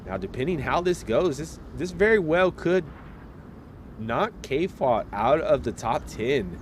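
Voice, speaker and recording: A man casting a live esports match, relaxed and chatty, talking straight to viewers while getting over a slight cold. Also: on the high side, slow, slight background noise. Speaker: esports caster.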